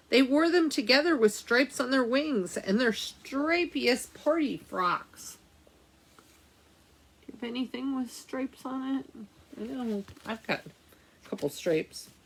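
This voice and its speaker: A woman reading a picture book aloud to young children as a library storytime host, with dramatic, drawn-out phrasing and chatty asides. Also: a little high, fairly bright tone.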